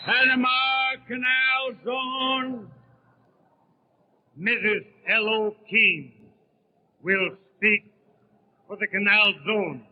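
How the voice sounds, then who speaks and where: A man formally announcing speakers as a convention official, in drawn-out proclamations on a 1930s radio broadcast. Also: high voice. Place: large convention hall. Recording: tinny 1930s radio, echoey hall.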